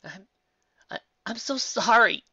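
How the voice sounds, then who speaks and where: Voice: mid-range voice, lively intonation, slow pace, bright, forceful tone, breathy edge, no room sound, lo-fi sound, pitched above the performer's own voice, full character voice. A narrator voicing a hurt, tearful young female pony filly in an emotional voice. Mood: tearful, sorry. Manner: emotional, stammering when upset.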